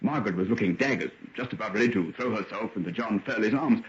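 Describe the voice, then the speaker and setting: An actor playing a hearty, jovial upper-class gentleman chum, emphatic and clubby, in an old-time radio drama. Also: fairly low pitch, fast, a crowd in the background, natural voice. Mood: hearty, insistent. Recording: dull, noisy vintage radio recording.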